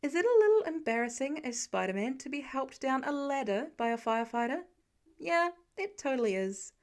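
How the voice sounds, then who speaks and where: A woman's voice: high voice, very animated, fairly quick. A woman reading scripted story narration as an audiobook-style narrator. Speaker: podfic narrator.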